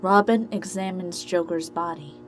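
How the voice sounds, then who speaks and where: A woman's voice: lowish voice, steady tone, normal speed. A woman reading scripted narration for an amateur audio drama story.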